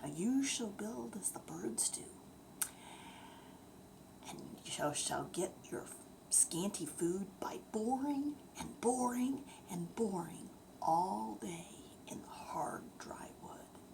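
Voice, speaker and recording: Woman reading as a stern, angry saint, slow and breathy. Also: mid-range voice, lively intonation, background noise. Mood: stern scolding.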